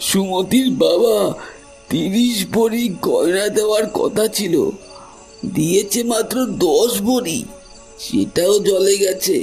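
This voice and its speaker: An actor playing a greedy, cruel older father-in-law in a horror audio drama, halting and scolding. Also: sits high, darkish tone, faint music behind, low-quality recording. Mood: cold scheming.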